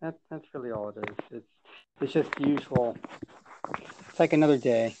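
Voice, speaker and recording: Low-key man, faint hiss behind. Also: normal speed. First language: Yiddish and Hebrew. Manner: plain, repetitive, low-key. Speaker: podcast co-host. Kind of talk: casual chat.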